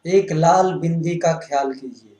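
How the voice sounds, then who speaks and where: Male yoga instructor, fairly high pitch, very unhurried delivery of short guided-meditation cues. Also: dark tone. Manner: instructing in short, repetitive phrases. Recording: roomy, echoing sound.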